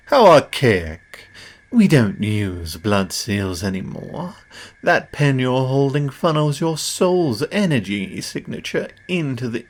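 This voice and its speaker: A man's voice: mid-range voice, exaggerated voice. A man playing a cocky, condescending, powerful demon who makes deals, performed as a theatrical audio roleplay spoken straight to the listener. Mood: amused, smug.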